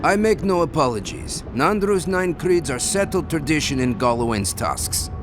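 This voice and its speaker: Officious higher-voiced gate guard. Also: male voice, big pitch swings, moderate pace, background noise. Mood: stern, unyielding. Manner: officious, stern, formal. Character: gruff, rigid, rule-quoting gate guard. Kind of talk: video game character acting.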